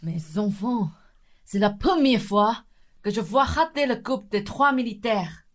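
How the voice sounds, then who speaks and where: A woman's voice: medium pitch, expressive, measured pace, close to an everyday voice. A woman playing a bossy, irritable male gang leader in a comic radio play, curt and scolding in delivery. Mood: businesslike, grumbling.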